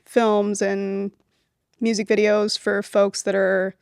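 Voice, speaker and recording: A young adult woman from Venezuela, raised in Miami, talks as a film producer guest in a relaxed, casual interview, using lots of "um" and "like" fillers. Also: medium pitch, slow, vocal fry, clean tone, no echo, clean studio sound.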